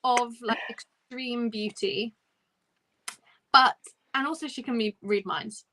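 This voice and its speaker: Bright-voiced woman chatting casually about books. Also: a little high, slow pace, a little hiss or hum, rough recording. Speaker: host of a book discussion live show. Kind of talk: casual book chat on a livestream.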